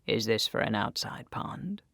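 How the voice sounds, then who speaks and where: A woman voicing a gaunt older male flower-shop keeper in audio fiction, creepy and eager, speaking softly and breathily in a coaxing, soothing way. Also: very deep voice, very animated, dry recording, studio quality, voice pushed down, heavily acted. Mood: creepy, polite. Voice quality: breathy, whispery. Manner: slow, soft, coaxing.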